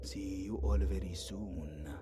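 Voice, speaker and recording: Man as smug, slow-drawling fantasy villain. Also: deep voice, steady tone, loud music behind the voice. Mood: smug, taunting. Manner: drawling, mock-polite. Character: smug, sly, mocking fantasy war villain. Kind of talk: fantasy audio drama acting.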